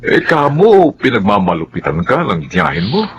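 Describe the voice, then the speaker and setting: A gentle Filipino father figure in a radio drama, kindly and concerned. His voice sits a little low and moves with a very expressive, swinging melody. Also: moderate pace, dull audio, a little hiss or hum. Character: kindly fatherly man taking in a runaway.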